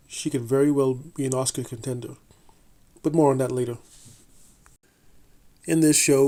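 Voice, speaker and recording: A man reading a scripted TV review in a measured, deliberate way, with the odd slip and restart. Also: medium pitch, dark tone. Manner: measured, deliberate delivery with occasional slips and restarts. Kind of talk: scripted review read aloud.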